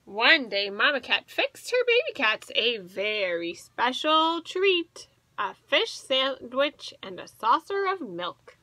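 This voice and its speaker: A woman in her thirties reading a storybook aloud to children as a teacher, expressive and asking the listeners questions. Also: sits high, takes it slowly, rough recording. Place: small indoor room with bookshelves.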